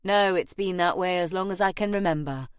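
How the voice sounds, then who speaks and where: Storybook hero, female narrator acting: reserved, gruff man, terse and decisive, dry close recording. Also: lowish voice, brisk, dry recording, natural voice. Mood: matter-of-fact.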